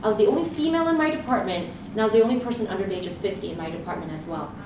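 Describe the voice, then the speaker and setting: A woman who grew up speaking Romanian first gives a lively conference talk as a leadership coach, telling stories and acting out her own inner thoughts. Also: medium pitch, very fast. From grew up in Southern California. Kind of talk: live conference presentation. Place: event room with an audience. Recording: very echoey room, voice far from mic, background noise.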